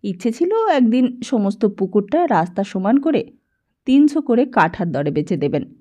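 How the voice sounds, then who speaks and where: A woman's voice: on the high side, very animated, moderate pace, dark tone, highs cut off. A woman, reading a scripted story aloud as an audiobook narrator.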